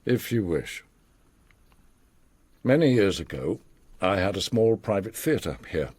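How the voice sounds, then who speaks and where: An actor playing an older, worldly and enigmatic mastermind in a radio drama, speaking in a theatrical, measured, formal and philosophical way with a husky voice. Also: male voice, on the low side, very animated, darkish tone, no echo, exaggerated voice. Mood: cryptic, lofty. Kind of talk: radio drama acting, storytelling monologue.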